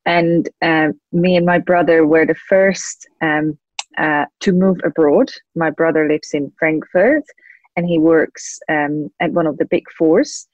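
A slightly muffled, dry recording of a young woman with a Czech accent. She speaks as an interview guest in a fairly low voice, explaining things conversationally with frequent pauses. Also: dry recording. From Czech Republic. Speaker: guest, lawyer and compliance officer.

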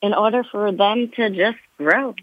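A woman's voice: medium pitch, measured pace, no room sound. A woman guest on a radio talk show, speaking in an enthusiastic, confident way. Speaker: guest, consultancy founder. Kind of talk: radio interview. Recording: tinny phone line.

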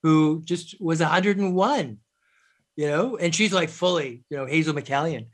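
A man's voice: fairly high pitch, moderate pace. A man hosting an interview, chatty and agreeable, filling his talk with "you know". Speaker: interview host. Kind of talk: conversational interview.

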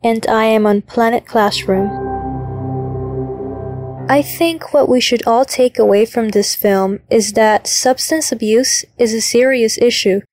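A woman's voice: a little high, unhurried, darkish tone, soft background music. A young woman from Chile giving a scripted testimonial as a reviewer.

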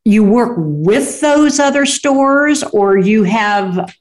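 A woman's voice: on the high side, animated, slow pace, darkish tone. A woman hosting a talk show, gushing and rambling enthusiastically about what she loves. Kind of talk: casual interview chat.

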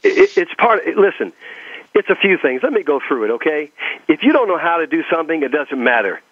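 A man's voice: fairly high pitch, very animated, a little fast, no room sound. Emphatic Texan motivational man, muffled dry sound. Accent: Texas. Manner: emphatic, repeating phrases for effect. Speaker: motivational speaker and coach being interviewed. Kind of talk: motivational talk and personal storytelling in an interview.

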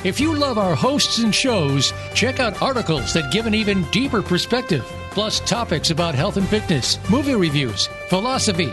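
High lively male promo announcer. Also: normal speed, hardly any pauses. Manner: upbeat announcer delivery. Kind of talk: scripted promo read. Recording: promo over clear music.

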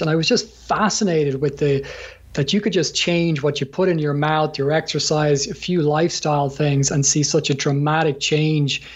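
An Irishman with a husky, somewhat high voice, a gym owner talking through his path in a casual interview. He speaks haltingly, full of ums and restarts, often chuckling mid-sentence. The sound is dull and lo-fi. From Ireland.

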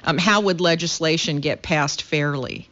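A woman's voice: deep voice, normal speed, a bit bright. A woman hosting a radio talk show, introducing a guest and then pressing him with probing follow-up questions. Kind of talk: radio interview. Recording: slightly dull lo-fi radio sound.

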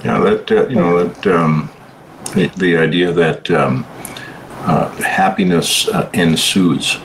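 A male radio host who talks haltingly, with many fillers. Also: low-pitched, slight background noise, poor audio. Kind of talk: interview chat.

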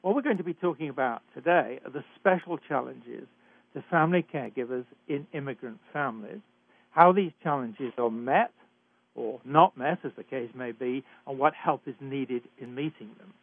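A man's voice: medium pitch, vocal fry, breathy edge, dry recording. British man hosting talk radio, phone-thin. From Britain. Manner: measured, emphatic, some hesitations. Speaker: radio show host. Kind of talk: hosted talk radio introduction. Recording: dry, phone-like narrow sound.

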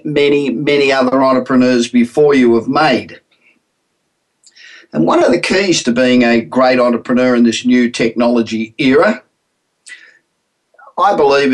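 A man's voice: medium pitch, low-quality recording. A middle-aged man with an Australian accent, hosting a business radio show in a chatty, upbeat monologue with frequent ums.

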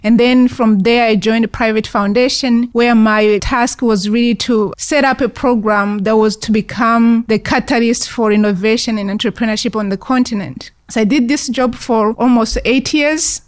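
A woman's voice: a little high, fairly even intonation, moderate pace, few pauses, no room sound. A middle-aged woman from Rwanda, a guest telling her life story in an interview with earnest, reflective answers and occasional fillers. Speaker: guest, founder of an investment fund.

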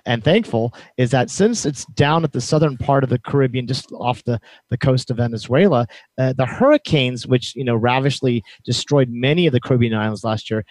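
A man's voice: medium pitch, darkish tone, no echo. A male host chatting with enthusiasm, often restarting his sentences. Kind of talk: interview chat.

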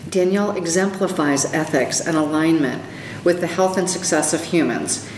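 A woman's voice: low-pitched, normal speed, darkish tone. A woman hosting an interview, delivering a polished introduction and then asking questions. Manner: polished, scripted-sounding introduction, then brief affirmations. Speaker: interview host. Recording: roomy, distant, reverberant sound with faint noise.

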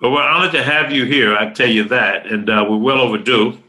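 A man hosts a motivational talk show with a bright, ringing voice. His delivery is upbeat and preacher-like, building hope line by line. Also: medium pitch, a little fast, poor audio.

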